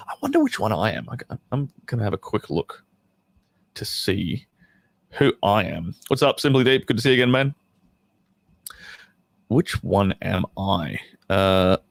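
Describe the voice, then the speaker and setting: A man's voice: medium pitch, gravelly, no room sound. Casual man chatting on a dry-sounding livestream. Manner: informal, bantering, mildly sweary, thinking aloud. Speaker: adult film actor reading a script to viewers. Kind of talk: casual livestream chat with script reading.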